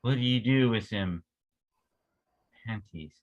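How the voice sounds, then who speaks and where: A man's voice: on the low side, slow pace, dull audio. A man playing a tender, teasing young man in love in a table read of a stage play. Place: remote video call.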